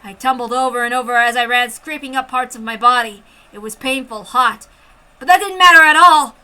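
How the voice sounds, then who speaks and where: A woman reading a first-person story aloud with an expressive, intense dramatic delivery. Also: sits high, brisk, smooth. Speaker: amateur story reader.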